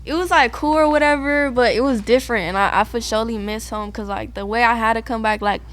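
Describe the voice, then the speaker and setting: A woman's voice: a little high, quick pace. A young woman from San Diego, whose first language is Spanish, talking casually as a guest artist in a slangy, laid-back way full of fillers. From San Diego, raised partly in Guatemala. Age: young adult. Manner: laid-back slangy talk, many fillers like "you feel me". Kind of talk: casual interview chat.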